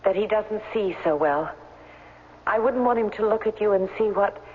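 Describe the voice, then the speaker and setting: A woman's voice: medium pitch, normal speed, natural voice. A woman playing an anxious, tender heroine in a radio drama, with hesitant phrasing. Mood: anxious relief. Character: anxious, tender woman relieved after danger. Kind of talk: 1970s radio drama acting. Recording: tinny 1970s radio broadcast, faint noise.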